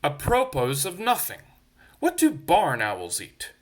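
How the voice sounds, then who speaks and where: A man's voice: a little high, huge pitch swings, slow pace, full character voice. A man voicing a pompous male storybook mouse who speaks with trembly, studied politeness. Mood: nervously casual. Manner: trembly, feigned casual politeness. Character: nervous, pompous male church mouse, British gentleman.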